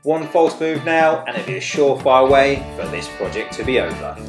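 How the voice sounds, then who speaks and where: A middle-aged man narrates an engine rebuild with cheerful, joking banter. His voice carries a roomy echo from the garage, with music clearly playing underneath. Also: mid-range voice, big pitch swings, normal speed. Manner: cheerful, jokey, uses playful slang. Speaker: co-host restoring a tractor engine. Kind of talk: upbeat workshop narration and banter. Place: garage workshop, voice-over in part.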